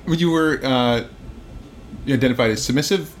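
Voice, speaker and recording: A man's voice: medium pitch, moderate pace, slight background noise. A man hosting a podcast, talking in a loose, rambling way with many restarts, then interviewing a guest. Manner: rambling, friendly, full of restarts. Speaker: podcast host.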